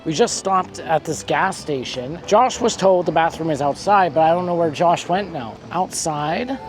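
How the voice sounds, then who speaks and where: A woman vlogging casually on a road trip, joking and self-mocking, her voice hoarse and fading from illness. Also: lowish voice, lively intonation, moderate pace. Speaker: travel vlogger.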